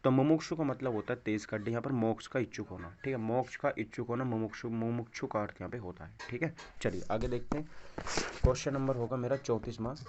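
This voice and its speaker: Male coaching instructor for quiz walkthroughs, repetitive question-and-answer delivery, dull low-fidelity recording. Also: fast talker, a little mellow, slight background noise. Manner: repeats answers, poses rhetorical check questions. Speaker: exam coaching teacher. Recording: dull, lo-fi microphone sound.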